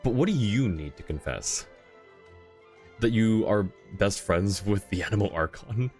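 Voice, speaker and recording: A man with a distinctly low voice streams a game and talks casually to his viewers. He rambles, thinking out loud in an informal way, with soft music in the background. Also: expressive, unhurried. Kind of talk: casual live-stream commentary, chatting with viewers. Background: faint game music.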